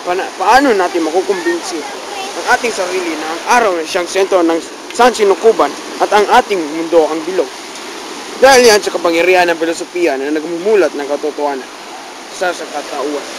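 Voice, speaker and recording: A man plays a proud, envious young noble rival with a fairly high voice. The take is noisy and recorded outdoors, with a stream and passing traffic behind him. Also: brisk, close to an everyday voice. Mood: reasoning. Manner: boastful, taunting. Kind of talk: amateur student drama acting.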